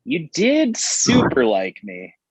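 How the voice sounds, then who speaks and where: Man playing an anxious, high-pitched friend. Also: wildly swooping pitch, takes it slowly, breathy edge, no echo, heavily acted. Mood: defensive. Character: anxious, mild male friend, worried and conflicted. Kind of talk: table read of a comedy script. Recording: dull, close dry microphone.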